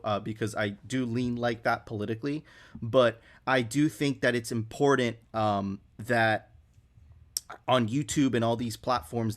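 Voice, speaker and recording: A man from Venezuela speaks in a relaxed podcast discussion. His voice sits at an ordinary pitch. He stumbles over repeated words and keeps checking in with "right?". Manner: repeats words as he restarts sentences, often tags on "right?". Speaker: podcast co-host.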